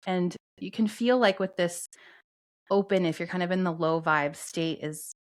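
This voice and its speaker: Woman, podcast co-host, somewhat low pitch, calm, explanatory, steady teaching tone. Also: moderate pace. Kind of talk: explaining astrology and human design concepts.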